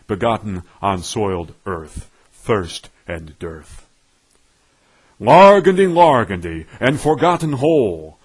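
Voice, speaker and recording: A man recites verse with grand, pompous theatricality. His voice is husky and rough-edged, and he takes his time over each phrase, leaning on words for dramatic weight. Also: on the low side. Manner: pompous, declamatory, with dramatic emphasis. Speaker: poetry reader.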